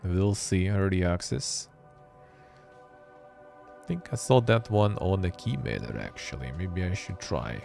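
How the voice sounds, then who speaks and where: A deep-voiced man hosts a live stream in a loose, offhand way, muttering to himself and reading text aloud with dry little remarks. Soft music plays quietly behind him. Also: lively intonation, unhurried, creaky, pauses often. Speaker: streamer and show host. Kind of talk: casual live-stream commentary.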